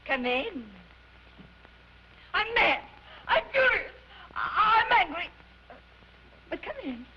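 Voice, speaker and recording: An actress playing a temperamental, vain opera diva with histrionic, repetitive delivery, in the style of old film comedy. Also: female voice, sits high, very animated, normal speed, fairly bright tone. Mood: furious, tearful. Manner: theatrical, histrionic, repeating herself. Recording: dull, noisy vintage film soundtrack.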